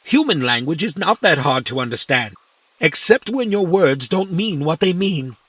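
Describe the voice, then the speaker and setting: A chatty, pedantic little robot from a children's audio adventure. The voice is high and bright, swinging widely in pitch. It takes every word literally and gets excited easily. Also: male voice, normal speed, breathy edge. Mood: smug. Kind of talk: children's audio drama acting.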